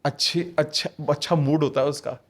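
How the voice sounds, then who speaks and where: A man's voice: a little high, steady tone, moderate pace. A man on a podcast as a guest author, explaining ideas emphatically with rhetorical questions and English phrases mixed into his talk.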